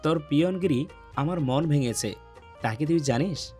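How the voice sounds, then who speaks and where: A man acting a brooding, lovesick, gruff young man. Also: moderate pace, darkish tone, faint music behind, natural voice. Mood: heartbroken, brooding. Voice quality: deep, heavy voice. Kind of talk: dramatized romance audio story.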